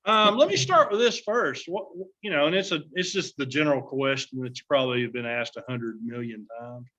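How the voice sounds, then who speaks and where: A man co-hosting a podcast, asking questions in a relaxed way with lots of fillers. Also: mid-range voice, brisk, dull audio. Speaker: podcast co-host. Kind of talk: casual podcast chat.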